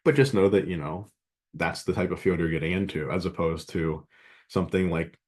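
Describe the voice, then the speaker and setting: Low-pitched male lecturer, easygoing and digressive, mildly stuffy from a cold. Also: a little fast, a little mellow. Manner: rambling, conversational, many fillers. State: slight head cold, a bit sniffly and scratchy. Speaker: math instructor. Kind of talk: classroom lecture.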